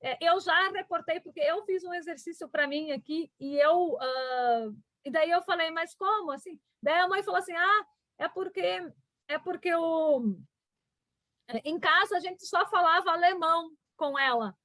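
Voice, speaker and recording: A woman's voice: extremely high pitch, normal speed, pushed and bright, no echo. Hesitant woman. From southern Brazil. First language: German. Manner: hesitant, many restarts and fillers. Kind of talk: reflective conversation.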